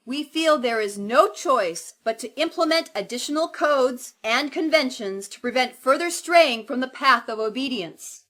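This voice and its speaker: Stern female cleric, played by an actress, high bright voice, slow solemn declamation for dystopian audio drama. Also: full character voice.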